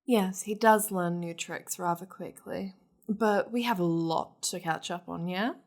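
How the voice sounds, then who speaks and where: Demon noblewoman played by a woman for ASMR roleplay: slow, commanding delivery, possessive and flirtatious, with teasing, haughty endearments. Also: lowish voice. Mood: gracious, haughty. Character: possessive, wealthy, flirtatious high-ranking demon lady.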